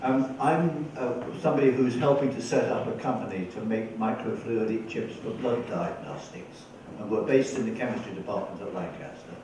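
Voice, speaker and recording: A man in the audience asking a question at a debate, speaking deliberately. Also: medium pitch. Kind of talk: question from the floor. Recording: echoey hall, far from mic, faint noise.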